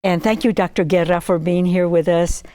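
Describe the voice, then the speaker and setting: A woman's voice: on the low side, fairly even intonation, normal speed, mellow. A woman hosting a TV interview show, friendly and a little hesitant with fillers. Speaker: TV show host.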